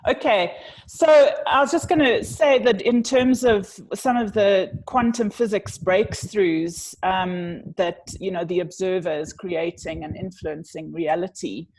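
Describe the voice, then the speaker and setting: A middle-aged woman interviewing on a video call, speaking tentatively and pausing mid-sentence. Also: medium pitch, darkish tone, slightly rough, low-quality recording. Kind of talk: conversational interview questioning. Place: small indoor room. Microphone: earphone headset on video call.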